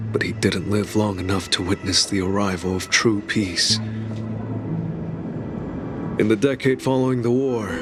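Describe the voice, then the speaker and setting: An actor playing a dignified guide in a video game, recounting history in a grave, formal, reverent manner. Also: male voice, lowish voice. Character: solemn, dignified male guide recounting fallen heroes. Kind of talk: video game storytelling dialogue. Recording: music behind the voice.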